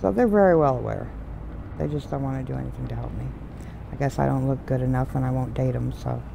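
Soft-voiced woman with a gravelly edge. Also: rumbling low, fast, noisy background. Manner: meandering, complaining asides. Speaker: game streamer. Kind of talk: rambling casual commentary. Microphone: desk mic with headphones. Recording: loud game music behind voice. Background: game music.

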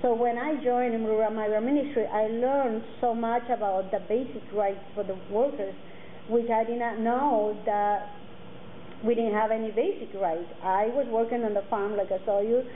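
A woman in her forties, a native Mixtec speaker, speaking earnestly to students and halting often with fillers and restarts. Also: on the high side, fairly even intonation. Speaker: guest speaker. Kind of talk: personal talk to students. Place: classroom with curtains, indoors. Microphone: table mic on a stand. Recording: tinny phone-like sound, faint noise.

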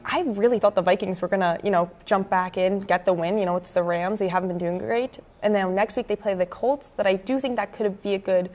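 A young woman with an American accent gives conversational sports commentary as a co-host. Her pitch sits in the middle for a woman, and she talks at a studio desk into a lapel mic. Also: fairly quick, few pauses, a little mellow. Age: young adult, early 20s. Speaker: student sports show co-host. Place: indoor campus TV studio at a desk.

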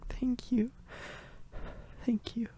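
A young woman, crying, speaking softly and haltingly in a girlfriend comfort roleplay, apologizing to the listener between whispers. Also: on the high side, little pitch movement, a little hiss or hum, rough recording. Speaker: audio roleplay performer.

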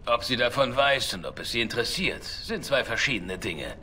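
A flirtatious outlaw elf in a fantasy game. His voice is bright and sharp at a middle pitch, dry and wry, with faint noise behind it. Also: big pitch swings, normal speed. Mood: matter-of-fact. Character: roguish elf man, cynical, flirtatious outlaw. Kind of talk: dramatic video game dialogue.

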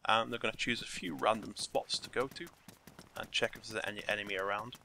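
A man with a croaky voice talking through a game scripting tutorial step by step from his desk. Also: fairly low pitch, brisk, slight background noise. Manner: relaxed step-by-step explaining. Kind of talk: screen-recorded tutorial narration.

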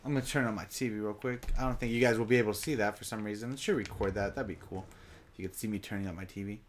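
A young man giving casual live commentary over his own gameplay, talking straight to his viewers, his voice a bit raspy from long sessions. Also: fairly low pitch, steady tone, racing, a little hiss or hum. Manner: chatty, casual, addresses viewers directly.

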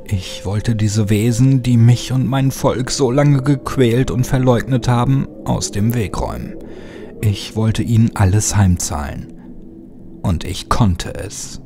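Male narrator for scripted horror audiobook, mid-range voice, clear music bed underneath. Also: medium pitch.

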